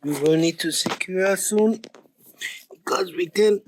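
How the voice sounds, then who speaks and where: Calm man. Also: high-pitched, slight background noise, poor audio. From Germany. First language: German. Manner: calm, steady, with frequent uh and um pauses. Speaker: channel co-host and narrator. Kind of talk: casual chat and tour narration.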